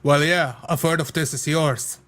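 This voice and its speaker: A man's voice: on the high side, fairly even intonation, normal speed, a bit bright. A man playing a tabletop roleplay game, improvising his character's actions in casual, tentative, loosely phrased speech.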